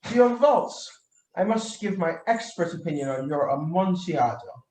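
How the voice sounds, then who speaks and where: A young man playing a vain, tipsy wine connoisseur with a head cold, halting and pompous in a theatrical radio-play style. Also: on the high side, lively intonation, a little mellow, reverberant, close to an everyday voice, poor audio. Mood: pompous, insistent. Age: young adult. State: sneezing and coughing with a feigned cold. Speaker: student actor.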